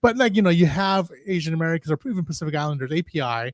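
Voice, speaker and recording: A man's voice: a little high, lively intonation, rapid-fire, no echo, clean studio sound. A man moderating a film festival panel, asking long rambling questions full of fillers and restarts. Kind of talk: casual panel discussion.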